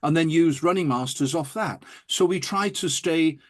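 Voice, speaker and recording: A man's voice: fairly high pitch, moderate pace. A man talking enthusiastically and telling anecdotes as an interview guest. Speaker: interview guest, audiophile recording producer. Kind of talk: animated anecdotal interview talk.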